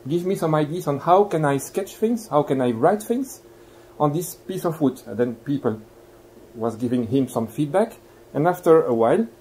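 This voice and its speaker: A man with a Belgian accent lectures in a mellow, fairly high voice. He explains things courteously and turns questions toward his listeners. It is a live recording with some faint background noise. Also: expressive, normal speed, poor audio. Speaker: guest lecturer. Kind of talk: university lecture. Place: lecture room.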